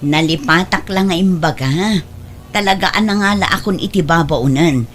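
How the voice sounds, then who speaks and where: A woman's voice: lowish voice, normal speed, a little hiss or hum, lightly acted. A woman playing a defensive, exasperated wife and indulgent mother in an audio drama, speaking in a complaining manner.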